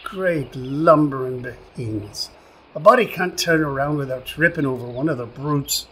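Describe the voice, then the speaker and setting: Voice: mid-range voice, normal speed, fairly bright tone, slight background noise, lightly acted. An actor in a radio play voicing a grumpy, suspicious little leprechaun with an Irish accent, blustering and insulting.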